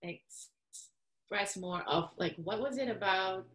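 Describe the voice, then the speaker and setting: A woman's voice: medium pitch, slow, fairly bright tone. A woman interviewing a guest in a casual, friendly way, using many fillers like um and you know. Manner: friendly, with frequent ums and you-knows. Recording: roomy echo.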